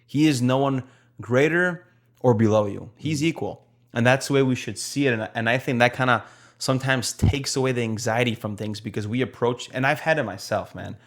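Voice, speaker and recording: A man from Poland with a Polish accent, co-hosting a nursing podcast in casual, blunt chat and slowing down to stress his points. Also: mid-range voice. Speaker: nurse podcast co-host.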